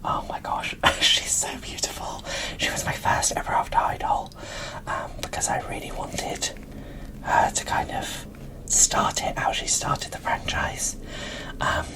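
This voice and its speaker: Female ASMR presenter, fully whispered and breathy, enthusiastic and gushing in a show-and-tell delivery. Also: low-quality recording. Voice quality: soft, breathy unvoiced whisper. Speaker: host of an ASMR doll unboxing channel.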